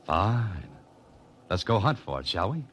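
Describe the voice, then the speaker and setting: An actor playing a cool, wry, tough mystery novelist turned sleuth in a 1940s radio drama. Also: male voice, on the low side, sing-song, unhurried, very noisy. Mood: mocking, cocky. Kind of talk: 1940s radio drama acting with first-person narration. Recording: 1940s radio recording with music behind.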